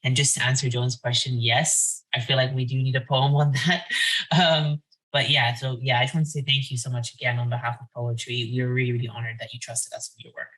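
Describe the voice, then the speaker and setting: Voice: medium pitch, little pitch movement, a little fast, fairly bright tone, poor audio. An editor speaking casually in an earnest, informal way, with repeated emphasis on key words. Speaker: poetry editor. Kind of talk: casual spoken remarks of thanks.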